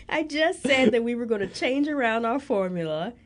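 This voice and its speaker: A woman's voice: a little high, animated, normal speed, crowd noise. A young woman co-hosting a podcast in casual chat, with a nasal, congested voice, laughing often. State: congested nose. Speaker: podcast co-host.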